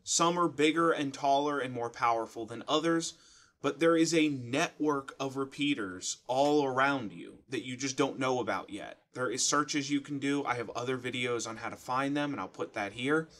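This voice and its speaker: Man, thirties, American accent, medium pitch, casual explainer, plain-spoken, instructive. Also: normal speed, a bit bright. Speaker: host of an emergency radio how-to channel. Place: small furnished room at home, indoors.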